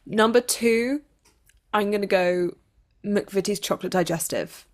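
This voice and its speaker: A woman interviewed as an actor, chatting casually in an animated, jokey way and telling stories with mock drama. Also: mid-range voice, a little slow. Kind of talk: casual chat.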